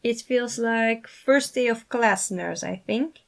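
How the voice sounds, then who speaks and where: A woman's voice: fairly high pitch, slow pace, creaky. Woman reading as a timid, cautious young man. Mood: nervous, reflective. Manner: hesitant, muttering. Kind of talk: fan fiction character reading.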